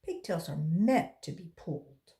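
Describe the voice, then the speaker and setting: Storybook schoolboy, acted by a woman: earnest, self-justifying little rascal, unhurried, animated pitch. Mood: earnest, defensive.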